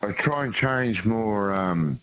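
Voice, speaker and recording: A man's voice: fairly low pitch, expressive, a bit bright. Tasmanian man calling in on a muffled line. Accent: Tasmanian Australian. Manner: halting, with many ums and restarts. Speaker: caller. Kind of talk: phone-in chat.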